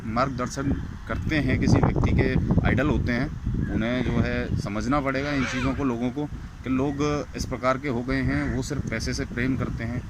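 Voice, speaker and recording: A man's voice: medium pitch, steady tone, moderate pace. An indignant man giving an informal, scolding opinion rant as a commentator on a recorded call. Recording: very noisy phone call recording outdoors, vehicles.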